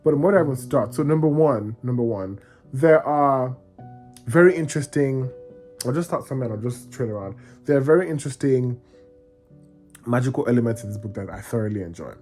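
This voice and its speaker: Excitable man gushing about books. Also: mid-range voice, fairly quick, soft background music. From Nigeria. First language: Yoruba. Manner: excitable, gushing, rambling with restarts. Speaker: book vlogger. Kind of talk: casual reading vlog chat.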